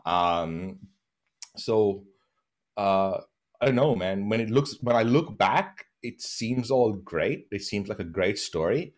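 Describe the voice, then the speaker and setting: A man's voice: fairly low pitch, expressive, slow. A man from Bahrain in his thirties, a podcast guest talking earnestly from his home over a video call, laughing often and restarting his sentences. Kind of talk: reflective interview conversation.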